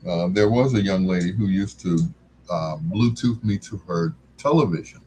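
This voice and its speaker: An older man hosting a music livestream, chatting casually in a laid-back, folksy, unhurried way. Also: sits low, darkish tone, slight background noise, low-quality recording. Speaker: livestream music show host.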